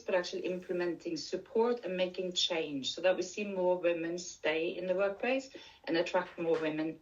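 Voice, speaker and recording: A woman's voice: fairly low pitch, distant mic, muffled recording. A middle-aged woman from Norway with a Norwegian accent, giving an earnest conference talk as a coach and repeating key points for emphasis. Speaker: menopause coach and speaker. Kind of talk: conference presentation.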